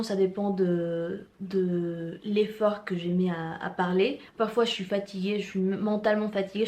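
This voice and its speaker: A young woman with a Mexican accent, talking at a middling pitch in a relaxed vlog chat. She is a native Spanish speaker who thinks aloud, with plenty of hesitant restarts. She sounds like she is recording at home. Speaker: vlogger. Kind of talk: casual vlog chat to camera.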